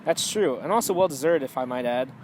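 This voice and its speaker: A man's voice: mid-range voice, expressive, fast, darkish tone, natural voice. A man playing an opinionated, alarmist author interviewed on a 1920s radio show. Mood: indignant. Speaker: talk show guest, author. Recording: noisy amateur recording.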